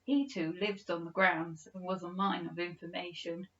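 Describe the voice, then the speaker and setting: A woman reading a children's story aloud as an expressive bedtime storyteller. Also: on the low side, moderate pace, muffled recording.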